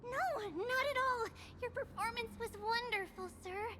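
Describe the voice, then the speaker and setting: A woman voicing an eager, courteous, girlish young character in a video game, expressive and polite. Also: extremely high pitch, measured pace, noisy background. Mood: warmly polite.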